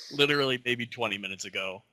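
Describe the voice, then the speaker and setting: A man's voice: medium pitch, bright, forceful tone, a little hiss or hum. A young man co-hosting a casual podcast, goofing around with friends in one room and hesitating with fillers. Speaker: podcast co-host. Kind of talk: casual chat. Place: shared room with co-hosts. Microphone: clip-on mic on shirt.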